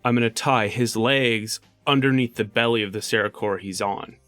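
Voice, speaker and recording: A man's voice: lowish voice, normal speed, fairly bright tone, dry recording, faint music behind. A man playing a tabletop game, talking casually and explaining his moves in a matter-of-fact way.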